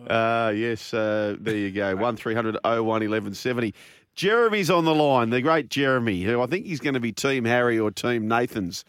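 An Australian man hosting a talk radio show, brisk and teasing as he takes callers. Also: mid-range voice, fairly bright tone, clear, resonant tone, no room sound. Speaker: radio host.

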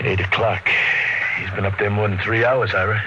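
A man's voice: on the low side, flat delivery, normal speed, natural voice. An actor playing a tough, suspicious, brutal expedition crewman with blunt, slangy speech in an old radio drama. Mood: uneasy, gruff. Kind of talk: 1950s radio drama acting. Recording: noisy old radio broadcast.

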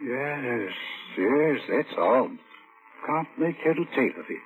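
A man's voice: mid-range voice, lively intonation, takes it slowly. An actor playing a reserved, plain-spoken middle-aged male neighbour in a vintage radio drama, cautious and clipped in his answers. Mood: cautious recollection. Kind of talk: 1940s-1950s radio drama acting. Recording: tinny, hissy vintage radio recording.

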